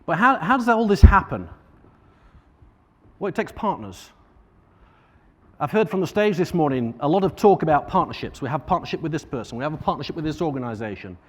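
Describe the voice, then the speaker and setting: A man with a Northern English accent giving an informal, somewhat halting conference keynote as a charity partnerships manager. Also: a little high, big pitch swings. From Northern England. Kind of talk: conference keynote speech to an audience. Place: large conference room, on stage. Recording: echoey hall reverb.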